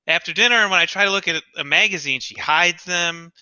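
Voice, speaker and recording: A man's voice: high voice, a little fast, bright, forceful tone, clean tone, lo-fi sound. A man playing a meek, stammering bank teller. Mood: apologetic timid. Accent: American. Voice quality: hesitant, apologetic, stammering. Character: timid bookish male bank teller, apologetic. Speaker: live script reader. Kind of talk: live radio-play reading.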